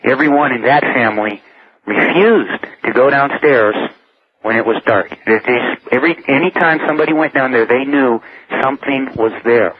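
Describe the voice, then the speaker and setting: A man's voice: medium pitch, moderate pace, a little hiss or hum. An American man calling a radio show by phone to tell a personal ghost story. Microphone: telephone. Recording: dull lo-fi phone call to radio.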